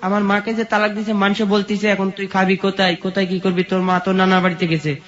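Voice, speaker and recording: A high-pitched male voice with a rural Bangladeshi accent, slightly muffled in the recording. He tells his life story to an interviewer in a plain, repetitive way, moving step by step through what happened. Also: little pitch movement, fast talker, fairly bright tone. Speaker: guest telling life story. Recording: dull, muffled lo-fi sound.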